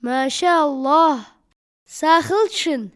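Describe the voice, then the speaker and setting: A woman voicing a young child in an animated cartoon, with a drawn-out delivery. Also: sits high. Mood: earnest. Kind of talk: cartoon dubbing.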